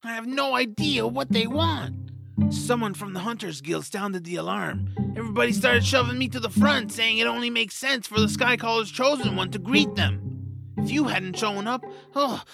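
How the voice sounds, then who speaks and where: A woman voicing an anxious, excitable male troll in a family audio drama, with a flustered manner, over music. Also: a little high, moderate pace. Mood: alarmed. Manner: nervous, flustered, exclamatory. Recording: voice under clear background music.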